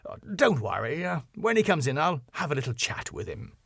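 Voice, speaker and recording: An excitable sitcom husband who owns a ballroom club, acted out by a male reader. He is theatrical and exclamatory, with a pitch that swings widely and climbs high, recorded close and dry. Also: fairly quick, gravelly, slightly rough, no room sound, heavily acted. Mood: excited, exasperated. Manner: flamboyant, exclamatory. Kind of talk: sitcom script reading.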